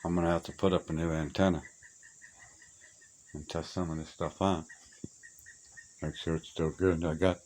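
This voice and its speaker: A man with a deep, low voice talks in a plainspoken, folksy ramble, half to himself. He sounds like a hobbyist casually showing off old gear. The amateur recording is clearly noisy, with clicks and insect chirps behind him. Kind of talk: casual rambling walk-through. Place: cluttered old room being cleared out.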